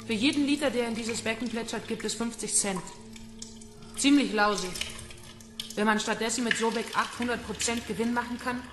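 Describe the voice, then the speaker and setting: A woman's voice: fairly high pitch, normal speed, close to an everyday voice. An actress playing a cold, vengeful female kidnapper in a crime radio drama, mocking and menacing. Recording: echoey room.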